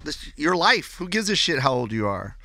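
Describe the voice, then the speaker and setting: A man co-hosting an advice chat, energetic and encouraging, often calling the caller "man". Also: a little high, normal speed, dry recording, clean studio sound. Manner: energetic, pep-talk, says "man" a lot. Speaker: advice show co-host. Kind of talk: casual chat.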